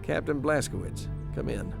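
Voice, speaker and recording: An actor playing a formal commanding army major in a video game, giving an official briefing. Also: male voice, mid-range voice, animated, normal speed, creaky, over music. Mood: formal, matter-of-fact.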